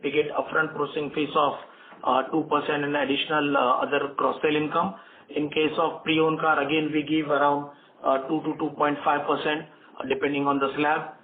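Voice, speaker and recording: A man with an Indian accent answering analysts as a company executive on an earnings call, haltingly and repeating words, over a phone conference line. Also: fairly high pitch, level tone, phone-quality sound, a little hiss or hum.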